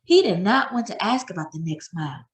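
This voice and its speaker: A woman narrates a children's story as a read-aloud. Her voice is husky and very lively, with expressive swings in pitch and careful storytelling phrasing. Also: fairly quick.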